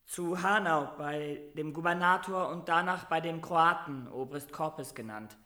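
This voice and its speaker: Young captive peasant boy, echoey room, highish bright voice, naive, earnest, plain-spoken, radio drama. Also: a little slow. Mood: earnest, defensive. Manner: simple, earnest answers. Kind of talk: radio play acting.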